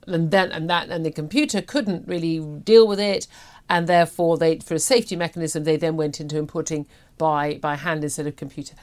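A British woman in her fifties talking through the news as a talk show host, chatty, emphatic and opinionated. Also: lowish voice. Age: 50s.

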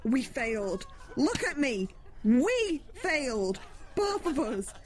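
A woman's voice: sits high, sing-song, takes it slowly, soft background music, full character voice. A woman playing a determined, pragmatic fantasy hero in an audio drama, earnest and insistent. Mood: resolute. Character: determined, pragmatic female fantasy hero urging on a despairing comrade.

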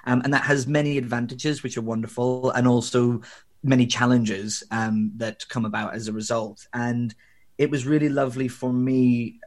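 A young man with a faint Northern Irish accent, speaking as an interview guest. He talks in a reflective, meandering way, with frequent ums and restarts. His voice sits at an ordinary middle pitch. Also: steady tone, normal speed. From Northern Ireland. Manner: reflective, rambling with fillers and restarts. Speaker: guest, theatre director. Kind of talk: conversational interview.

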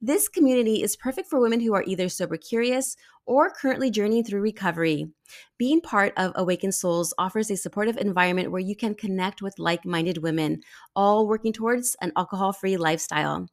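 A woman in her forties hosting a podcast, chatting casually, bubbly and quick to laugh. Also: medium pitch. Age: 40s. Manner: bubbly, laughs often, lively exclamations. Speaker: podcast host. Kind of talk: casual interview chat.

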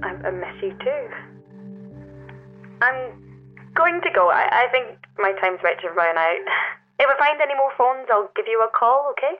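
A woman's voice: on the high side, animated, moderate pace, long pauses. An actress playing an adventurous, wistful young woman in a naturalistic audio drama, talking casually and hesitantly through a telephone filter. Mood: tender, awkward. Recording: tinny payphone line, music behind.

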